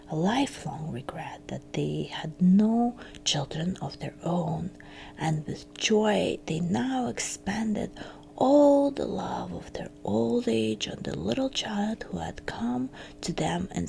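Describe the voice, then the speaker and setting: A woman reads a fairytale in a hushed ASMR whisper. Her voice is breathy and heavily crackled with fry. She takes her time, leaving gentle pauses between phrases, over an audible hiss and hum. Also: mid-range voice. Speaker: storyteller.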